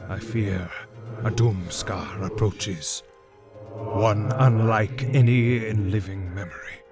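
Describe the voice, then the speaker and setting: A man's voice: fairly low pitch, over music. A man playing a grave, ancient god in a fantasy audio drama, speaking in a formal, stately and foreboding manner. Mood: ominous. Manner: solemn, formal, measured.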